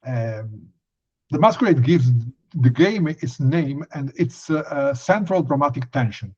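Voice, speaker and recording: Male interviewer on a muffled, lo-fi recording, mid-range voice, measured and pausing as he builds questions. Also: mid-range voice, animated, breathy edge.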